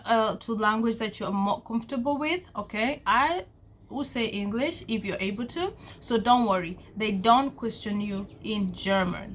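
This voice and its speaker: A young woman from Kenya talks straight to her viewers in a casual vlog, earnest and a little repetitive. Her voice sits at an ordinary middle pitch for a woman. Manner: earnest, repetitive, addresses viewers as guys, frequent okay. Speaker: vlogger giving visa advice.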